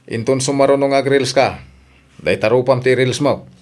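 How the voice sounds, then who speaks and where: A man's voice: mid-range voice, steady tone, normal speed, lightly acted. A man acting a worried, sensible, scolding husband in an Ilocano radio comedy drama. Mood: reproachful. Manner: reproachful.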